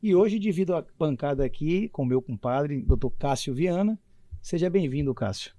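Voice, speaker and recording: A man's voice: a little high, expressive, normal speed, warm tone, dry recording, far from the mic. A man hosting a podcast in a conversational, playfully mock-official way. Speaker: podcast host. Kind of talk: podcast introduction and banter.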